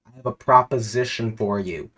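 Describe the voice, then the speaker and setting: A man's voice: on the low side, normal speed, fairly bright tone, low-quality recording. A man voicing a smug, bald villain in a suit, who announces himself in a theatrical, grandiose way. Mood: scheming.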